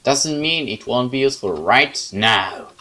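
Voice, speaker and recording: A man reads a horror game's story aloud in a medium-pitched voice. He narrates in the first person with dramatic expression, slipping into casual asides. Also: expressive, a little slow, sharp tone. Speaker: let's player reading a game aloud.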